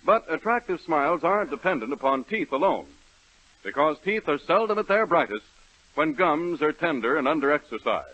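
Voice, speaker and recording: A man's voice: on the high side, big pitch swings, moderate pace, a bit bright, no echo. A man reading an earnest, persuasive radio commercial in 1930s announcer style. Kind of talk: scripted radio advertisement. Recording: dull, dry 1930s radio recording.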